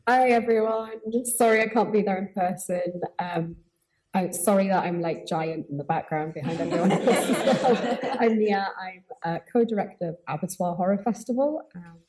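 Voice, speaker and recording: A tired woman with a slightly croaky voice, talking informally as a remote panellist on a video call. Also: on the low side, darkish tone, poor audio. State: tired after a festival. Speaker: horror festival co-director, remote panellist.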